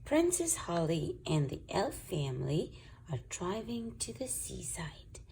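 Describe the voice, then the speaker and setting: A woman reads a children's storybook aloud as host. Her delivery is slow and deliberate, with very lively pitch and a gravelly, husky edge. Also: a little hiss or hum, low-quality recording. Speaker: children's book reader and channel host. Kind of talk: read-aloud storybook narration.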